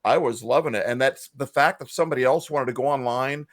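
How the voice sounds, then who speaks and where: A man's voice: mid-range voice, fast talker, dry recording, clean studio sound. Earnest, emotional male podcast co-host. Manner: earnest, emotional, self-described feeler. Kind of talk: casual video-call chat.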